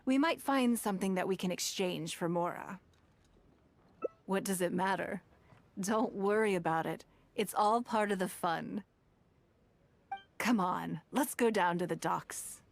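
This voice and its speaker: A woman's voice: medium pitch, unhurried, vocal fry, pauses often. An actress playing a shrewd, wealthy noblewoman in a video game, composed, assured and refined. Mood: confident, inviting. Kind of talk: video game character acting.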